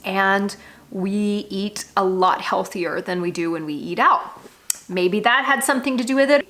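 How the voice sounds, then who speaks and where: A woman's voice: mid-range voice, a bit bright. An American woman vlogger talking candidly and informally to her viewers, with frank, emphatic delivery. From United States. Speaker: travel vlogger. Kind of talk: candid, conversational monologue to viewers.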